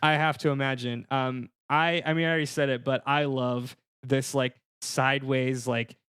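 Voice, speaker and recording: A man's voice: medium pitch, level tone, moderate pace, a bit bright, clean tone, dry recording, studio quality. A man co-hosting a podcast, chatting casually with hesitant, rambling phrasing. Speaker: podcast co-host.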